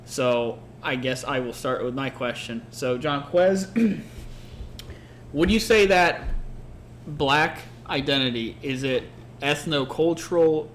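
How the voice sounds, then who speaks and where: Podcast co-host, man from Mexico, mid-pitched, earnest and off the cuff, faint hiss behind. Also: slow, a bit bright. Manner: earnest, thoughtful, many filler words. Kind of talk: casual unscripted conversation. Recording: faint background noise.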